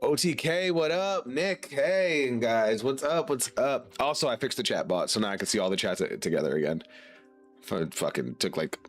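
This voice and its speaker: A man's voice: medium pitch, expressive, moderate pace, soft background music. A man streaming casually to his chat while congested and sick, laid-back and swearing now and then.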